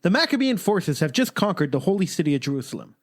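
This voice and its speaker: Brisk newscaster for radio drama, animated intonation, slightly high male pitch. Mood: brisk, announcing. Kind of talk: radio news reenactment.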